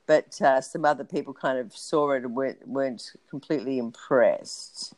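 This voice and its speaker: A woman's voice: very deep voice, normal speed, a little mellow, slight background noise. A woman co-hosting a podcast, recapping in a casual, rambling way with lots of ums and asides. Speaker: podcast co-host.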